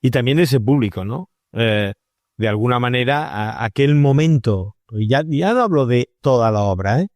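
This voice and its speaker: Male interviewer, mid-pitched, conversational and discursive, very dry recording. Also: animated, moderate pace, darkish tone, no room sound. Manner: discursive, frequently seeking agreement with tag questions.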